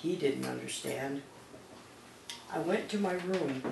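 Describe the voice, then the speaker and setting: Gravelly older grandmother reading, roomy and distant. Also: very deep voice, slow. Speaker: grandmother reading aloud to children. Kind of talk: read-aloud of a children's picture book. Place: small living room at home, on a couch. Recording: roomy, distant mic, background noise.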